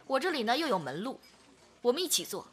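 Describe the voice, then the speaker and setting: A woman's voice: fairly high pitch, sing-song, fast talker, slight background noise. A woman acting a strong-willed businessman in his early thirties in a Chinese radio drama. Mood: calm, scheming.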